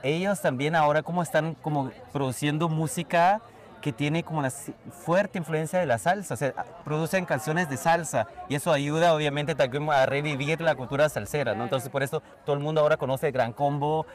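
A man who works as a salsa DJ and grew up in a Chilean exile household, talking casually in an interview and explaining things in a relaxed way with many fillers and tag questions. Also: fairly high pitch, a little fast. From raised in a Chilean exile household. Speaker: salsa DJ and festival organizer.

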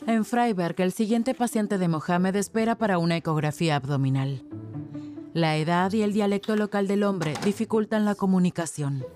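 A woman's voice: mid-range voice, moderate pace, music in the background. A woman reading scripted narration as the narrator of a documentary.

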